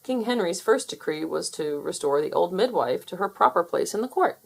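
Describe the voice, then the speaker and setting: A woman's voice: fairly low pitch, expressive, moderate pace, vocal fry, husky. A woman narrating a children's storybook aloud, inviting young listeners to guess what happens. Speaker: storybook reader.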